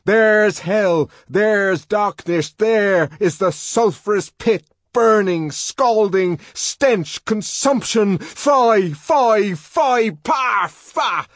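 Man playing a violent young savage, tormented, shouting, ranting verse, husky. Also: high-pitched, animated, drawn-out words, hardly any pauses, no room sound, clean studio sound, voice pushed up, exaggerated voice. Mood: raving.

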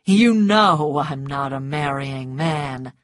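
A woman voicing a mocking, self-assured man in an audiobook story, blunt, deliberate and teasing. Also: low voice, lively intonation, no echo, studio quality. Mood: scornful.

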